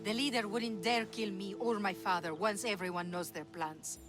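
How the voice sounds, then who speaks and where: A woman's voice: medium pitch, steady tone, moderate pace, close to an everyday voice. An actress playing a bold, self-assured young woman who yearns for heroic glory, in video game cutscene dialogue over music. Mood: determined, hopeful.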